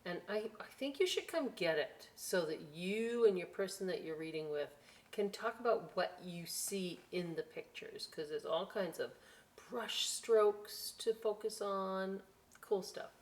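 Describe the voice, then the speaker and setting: Slow-paced woman reading a children's storytime. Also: medium pitch, animated, a little mellow. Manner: playful, conversational, addressing young children as friends. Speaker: library storytime reader. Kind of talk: children's picture-book read-aloud with chatty asides. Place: inside a library.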